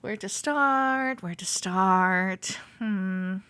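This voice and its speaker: A woman playing a friendly, teasing club member giving a tour in a roleplay audio, speaking to the listener in a gentle, reassuring way. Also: medium pitch, extremely slow, dry recording. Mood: welcoming.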